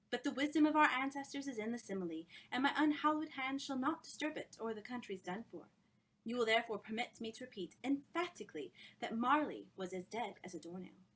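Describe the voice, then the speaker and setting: A woman reading a story, voicing an effusive, theatrical literary society hostess in a high, thrilling voice. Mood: dramatic recital.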